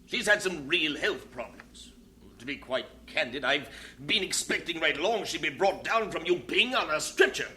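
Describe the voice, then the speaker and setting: A man's voice: on the high side, animated, moderate pace, sharp tone. A man acting a fretful, doubting missionary superintendent in an audio drama, blunt, fussy and formal. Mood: worried, exasperated.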